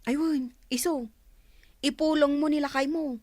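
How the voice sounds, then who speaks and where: A woman's voice: high-pitched, fairly even intonation, measured pace. A woman playing a chatty, sympathetic neighbour wife with a Filipino accent, gossiping in a radio drama.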